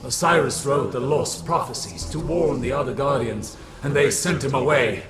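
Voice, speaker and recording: An actor playing a grave, prophetic warlock in a video game cutscene, speaking in a solemn, portentous manner. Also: male voice, mid-range voice, moderate pace, natural voice. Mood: solemn, foreboding. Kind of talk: scripted dramatic game cutscene acting. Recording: echoing voice under loud music.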